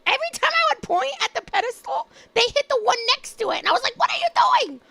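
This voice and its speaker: A young woman streaming live, chatting casually with a hoarse voice and telling an animated story full of laughs. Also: very high voice, fast talker. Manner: animated storytelling, laughs often, acts out quotes.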